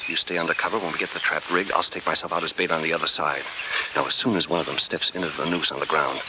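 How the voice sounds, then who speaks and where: An actor playing a tough, resourceful adventurer hero in clipped 1940s radio style. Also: male voice, extremely low pitch, flat delivery, fast. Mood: cool, determined. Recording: very noisy old 1940s radio recording.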